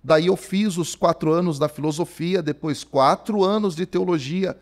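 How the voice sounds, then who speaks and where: A man's voice: a little high, moderate pace. A Brazilian man around forty, a theology professor talking as a podcast guest, telling his story casually with lots of fillers. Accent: Brazilian Portuguese. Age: 40s. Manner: animated storyteller, many fillers like "cara". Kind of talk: conversational podcast interview. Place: podcast studio. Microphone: studio microphone.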